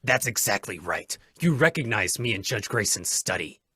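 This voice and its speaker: Man acting male radio vigilante, lowish pitch, tense forceful edge, stern, resolute, clipped menacing commands. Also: sing-song, normal speed, breathy edge, heavily acted. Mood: stern, accusing. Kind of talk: old-time radio drama acting.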